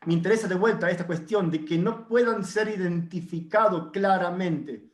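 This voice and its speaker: High-voiced man in an echoey room. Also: normal speed. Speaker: guest speaker. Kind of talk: virtual conference discussion. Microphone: video call.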